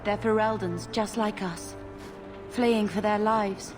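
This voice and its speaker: A woman's voice: medium pitch, steady tone, slow, lightly acted. A woman playing an anxious, proud refugee in a fantasy game, speaking with earnest distress. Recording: loud music bed.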